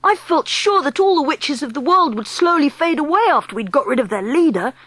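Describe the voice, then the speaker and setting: Lady doing a high little boy mouse voice, all worked up and pleading, storybook. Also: brisk, dry recording. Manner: pleading and excitable. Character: boy turned mouse, eager and anxious.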